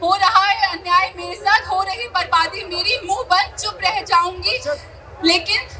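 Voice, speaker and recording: Woman, slam poet, dramatic declamation, bright ringing tone, slight crowd and noise. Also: extremely high pitch, measured pace. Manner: declamatory, repeats lines for emphasis. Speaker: poet performer. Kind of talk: dramatic slam poetry recitation as water. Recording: live event with faint crowd noise.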